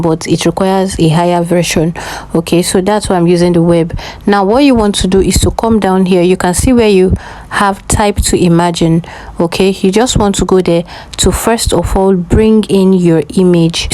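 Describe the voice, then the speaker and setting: A woman's voice: lowish voice, warm tone, rough recording. A woman hosting a tutorial, explaining step by step and often checking in with short questions. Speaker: tutorial host.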